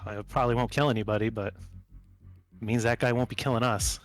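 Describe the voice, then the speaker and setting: A seasoned, cocky combat pilot, played by a man in a tabletop game. His voice sits at an average male pitch and he speaks with deliberate, matter-of-fact determination. Soft music plays behind him. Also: steady tone, natural voice. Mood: measured planning. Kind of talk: tabletop roleplay dialogue.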